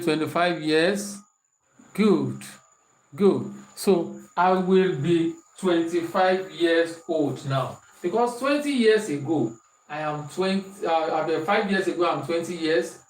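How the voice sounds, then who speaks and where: A man's voice: a little high, measured pace, recorded from a distance. A man with a Nigerian accent teaching a maths lesson online, repeating questions and reading out students' answers. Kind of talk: online maths lesson, working through examples. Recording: echoey room, voice off-mic, faint hiss.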